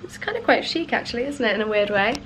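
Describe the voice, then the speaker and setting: A young woman vlogging casually to camera at arm's length in her living room, bubbly and chatty, her voice slightly croaky from a cold. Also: a little high, very animated, quick pace, a bit bright, slight background noise. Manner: bubbly and chatty, many ums. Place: bright home living room indoors. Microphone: handheld camera at arm's length.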